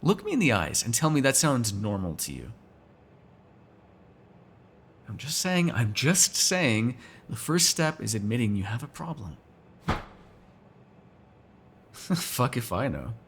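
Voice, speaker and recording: Male actor as a vampire, very lively swooping intonation, sarcastic, teasing, restless predator, casual audio roleplay, faint background noise. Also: medium pitch, normal speed, long pauses. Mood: wry, bantering. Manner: sarcastic, teasing, profane banter. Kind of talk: ASMR roleplay, casual one-sided dialogue.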